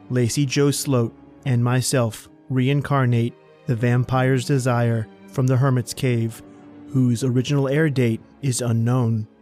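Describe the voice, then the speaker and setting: A man hosting a podcast, delivering a scripted spooky introduction in a theatrical, deliberate way. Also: medium pitch, darkish tone. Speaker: podcast host. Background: music.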